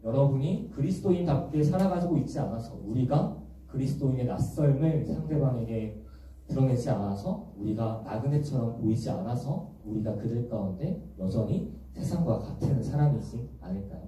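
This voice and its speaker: Young guy preaching to the youth group, lively up-and-down voice, heavy hall echo. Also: medium pitch, normal speed, a little hiss or hum. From Busan, South Korea. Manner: gentle, explanatory, asks the congregation questions. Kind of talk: sermon. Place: large echoey church hall. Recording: echoey church hall from far off.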